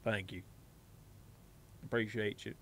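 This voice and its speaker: A man's voice: on the low side, flat delivery, takes it slowly, a bit bright, slight background noise. A man livestreaming a game in casual, rambling chat, repeating phrases and swearing freely, with a put-on folksy hillbilly drawl.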